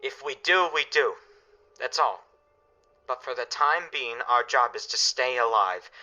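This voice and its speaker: A man's voice: medium pitch, expressive, unhurried, bright, forceful tone. An actor playing a commanding father in a radio drama, firm and reassuring as he directs his family in a crisis. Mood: stern, urgent. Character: steady, commanding family father preparing for disaster. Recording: dull, band-limited recording.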